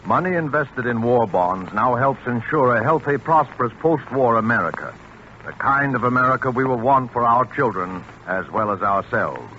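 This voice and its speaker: A man announcing a radio show in a crisp, formal 1940s style. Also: mid-range voice, lively intonation, moderate pace, pushed and bright, muffled recording, slight background noise. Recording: band-limited 1940s radio over music.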